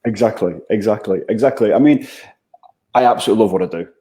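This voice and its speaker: A man's voice: fairly low pitch, quick pace, warm tone. A man with a Manchester accent, a guest on an interview show, talking conversationally and often repeating words as he thinks. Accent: Mancunian English. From England.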